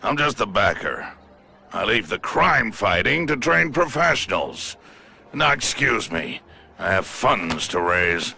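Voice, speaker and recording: Slow, gravelly, pompous tycoon. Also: male voice, on the low side, sing-song, sharp tone, exaggerated voice. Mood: smug, guarded. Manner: pompous, formal, deliberate. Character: smug, contemptuous male crime-fighting tycoon with menacing self-importance. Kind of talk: dramatic video game cutscene acting.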